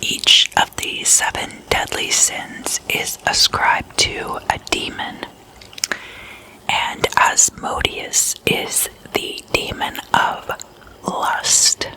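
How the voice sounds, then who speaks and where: Slow, crisp, whispering ASMR host. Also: bright, forceful tone, rough recording. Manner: intimate, addressing the listener as a guest. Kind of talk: whispered ASMR, casual chatty slumber-party role-play.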